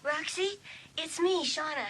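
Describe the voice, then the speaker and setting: An actress playing a chatty, gossipy teenage friend on the phone in a dramatised audiobook, a little hesitant. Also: female voice, high voice, measured pace. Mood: chatty, apologetic. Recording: noisy phone-call scene.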